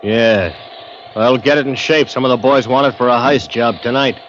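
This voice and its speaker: A man's voice: medium pitch, normal speed, fairly bright tone, close to an everyday voice. An actor playing a brusque gang henchman giving orders in a radio crime drama. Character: brusque male gang henchman overseeing the work. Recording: muffled old radio recording, faint noise.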